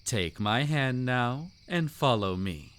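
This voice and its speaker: A man's voice: mid-range voice, animated, slow, a bit bright, a little hiss or hum. A man playing a seductive, commanding vampire count in an intimate audio roleplay, suave, teasing and theatrically formal. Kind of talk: intimate roleplay audio drama, scripted monologue.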